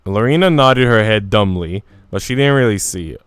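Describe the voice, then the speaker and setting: A man's voice: on the low side, animated, moderate pace, clean tone, no echo. A man reading visual novel narration aloud as a storyteller. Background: soft music.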